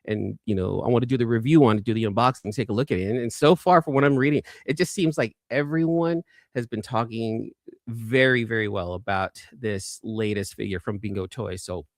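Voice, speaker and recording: A man's voice: fairly low pitch, no echo, studio quality. A man hosting a casual livestream, chatting with viewers in a rambling, conversational way and often repeating his phrases. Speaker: livestream host and toy collector. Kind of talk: casual live chat, reading viewer comments.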